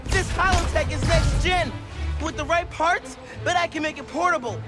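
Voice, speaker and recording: A young actor playing a young male superhero who talks in a lively, casual and enthusiastic way. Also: very high-pitched, normal speed, loud music behind the voice. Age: young adult. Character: young male teen superhero, upbeat and techy.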